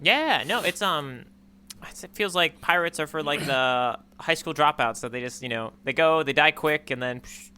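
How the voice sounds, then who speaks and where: A man's voice: fairly high pitch, expressive, normal speed, sharp tone, clean tone. A man co-hosting a podcast, chatting casually with playful, joking speculation. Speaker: podcast co-host. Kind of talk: casual chat.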